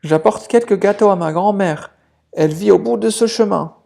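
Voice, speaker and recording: A storyteller voicing an innocent, trusting little fairy-tale girl with a small, soft voice. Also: mid-range voice, moderate pace, creaky, pitched above the performer's own voice, close to an everyday voice. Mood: innocent, cheerful. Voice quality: tiny, soft voice.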